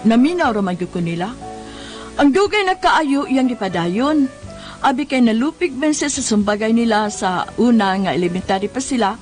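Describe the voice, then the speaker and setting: An actress with a Cebuano Filipino accent playing an exasperated woman who scolds with drawn-out, emphatic words in a comedy radio drama. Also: on the high side, lively intonation, soft background music, natural voice. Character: exasperated scolding woman nagging a hot-headed man.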